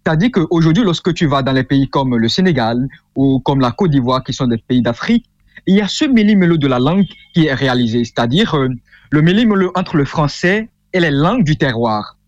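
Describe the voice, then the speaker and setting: A man's voice: on the high side, lively intonation, a little fast, no room sound. Earnest young man, muffled line. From Benin. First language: Fongbe. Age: young adult. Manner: earnest, explanatory, many hesitations. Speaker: author guest. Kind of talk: interview conversation.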